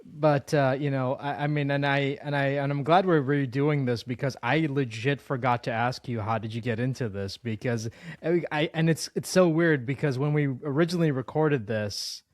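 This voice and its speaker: Mid-pitched man hosting, close and dry. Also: no room sound. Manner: eager fan, rambling and halting. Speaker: interview host. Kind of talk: casual chat.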